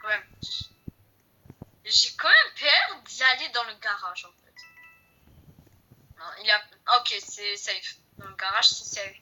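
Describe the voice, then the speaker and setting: A woman's voice: fairly high pitch, big pitch swings, measured pace, long pauses, pushed and bright, rough recording. A woman with a slight Portuguese accent chatting casually as she plays a game, repeating herself often and speaking to her viewers. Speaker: gaming video host. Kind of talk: casual chat while playing a game.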